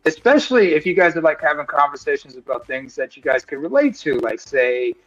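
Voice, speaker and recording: A man's voice: a little high, big pitch swings, a little fast, no echo, highs cut off. A man co-hosting a live stream, talking earnestly in long, rambling thoughts full of fillers. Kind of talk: casual discussion.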